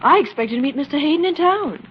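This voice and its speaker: Animated, strong-willed radio heroine. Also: female voice, sits high, fast. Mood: indignant. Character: indignant, well-bred young Englishwoman, strong-willed. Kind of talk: 1940s radio acting. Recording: muffled, noisy old radio recording.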